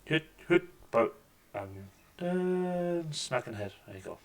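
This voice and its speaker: A man with a deep voice talks through a video game as he plays it. He keeps up a casual, wry running commentary into a desk microphone at home, cracking dry jokes about what he sees on screen. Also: sing-song, takes it slowly. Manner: wry, joking asides over gameplay. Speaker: gaming channel host. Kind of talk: casual let's-play commentary. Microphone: desk microphone with mixer.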